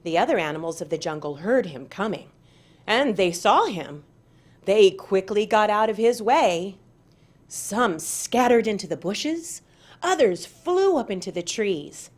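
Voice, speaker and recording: A woman reading aloud to children as a storyteller, with deliberate, dramatic emphasis. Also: mid-range voice, sing-song, a little mellow. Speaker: parent and school board member reading aloud.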